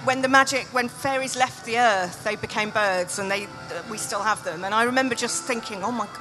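A woman's voice: on the high side, fairly quick, over music, low-quality recording. A British woman, a nature writer, talking informally in a live on-stage conversation. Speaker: nature writer and podcast host. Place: festival stage.

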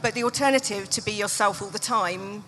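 A woman's voice: mid-range voice, a little fast, a bit bright, slight background noise. Reflective woman in candid conversation. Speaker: author and podcaster being interviewed on stage. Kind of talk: candid unscripted live conversation. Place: live festival stage.

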